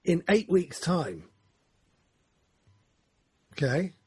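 Man in his 40s, husky, dry close sound, rambling earnest chat. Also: a little high, sing-song, drawn-out words, darkish tone, dry recording. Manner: rambling, self-correcting, earnest. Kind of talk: casual chat.

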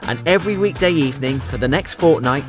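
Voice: medium pitch, lively intonation, quick pace, over music. A radio host presenting a comedy festival show and interviewing guests.

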